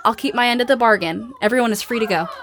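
An actress playing a witty, sarcastic young schoolteacher in a post-apocalyptic audio drama, with a wry, bantering manner. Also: female voice, a little high, quick pace, fairly bright tone, a little hiss or hum. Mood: encouraging, lively. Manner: wry, dry-humored, bantering. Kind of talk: naturalistic audio drama acting.

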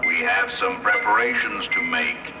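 Cold alien possessor: very low, stiff, hollow delivery in vintage radio horror, loud music behind. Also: male voice, dull audio. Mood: commanding, ominous. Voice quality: hollow, barely human voice. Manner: stiff, deliberate, commanding. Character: cold alien intelligence speaking through a possessed man. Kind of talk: 1960s radio drama acting. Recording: echoing old radio recording with music.

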